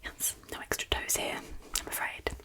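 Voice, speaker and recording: An English woman from Yorkshire whispering softly in an ASMR Q&A, teasingly repeating her questions. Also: moderate pace. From England, Yorkshire. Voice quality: soft unvoiced whisper. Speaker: ASMR creator.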